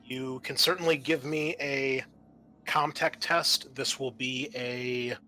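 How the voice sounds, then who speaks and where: Bright-voiced man running a tabletop game. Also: mid-range voice, steady tone, faint music behind. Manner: halting, conversational. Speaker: game master. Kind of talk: improvised tabletop game narration.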